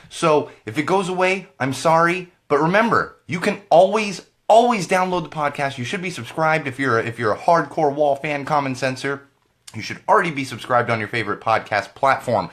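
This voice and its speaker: A man hosts a live podcast, his voice congested and a little hoarse from a cold. He rants casually, repeats his phrases and talks straight to the people watching. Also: fairly high pitch, a bit bright. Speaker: podcast host.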